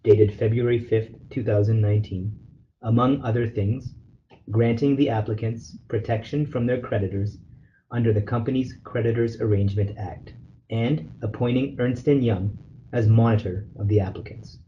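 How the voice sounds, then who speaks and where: A man lawyer reading a formal legal letter aloud on a conference call, in a measured, formal way. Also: mid-range voice, steady tone. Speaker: lawyer, representative counsel leading a call. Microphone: telephone conference call. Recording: dull conference phone call with noise.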